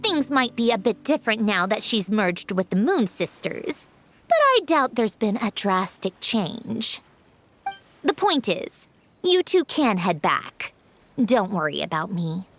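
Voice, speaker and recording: A woman's voice: mid-range voice, sing-song, unhurried, soft background music. A woman voicing a proud, guarded young woman in a video game, clipped, matter-of-fact and aloof. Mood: brisk, guarded. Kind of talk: English video game voice acting.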